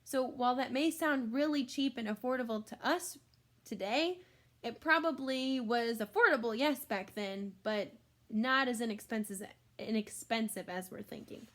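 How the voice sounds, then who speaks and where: A woman's voice: fairly high pitch, moderate pace. A woman reading a children's book aloud like a teacher, expressively, with exclamations and simple explanatory asides for young listeners.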